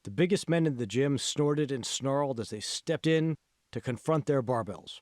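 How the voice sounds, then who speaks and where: A man's voice: mid-range voice, lively intonation, normal speed, a little mellow, dry recording, studio quality. A man reading scripted fiction narration as the author of an audiobook.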